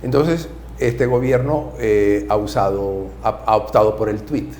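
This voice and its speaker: A Jesuit priest from Nicaragua answers questions in a soft-spoken, measured way and sometimes corrects himself mid-sentence. His voice sits a little low. An echoey studio with a faint hiss surrounds him. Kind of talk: interview answers.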